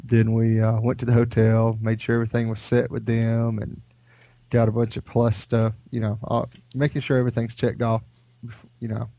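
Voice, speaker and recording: A man's voice: medium pitch, completely flat delivery, moderate pace, dark tone, dry recording. A man co-hosting a podcast, sick with a head cold, telling stories in a rambling, laid-back way. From Houston area. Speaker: podcast co-host. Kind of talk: casual chat.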